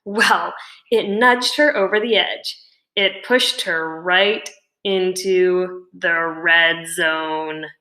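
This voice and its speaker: A woman reading a children's novel aloud like a teacher, with deliberate, dramatic emphasis. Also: fairly low pitch, pushed and bright, echoey room, rough recording. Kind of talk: expressive children's storybook narration.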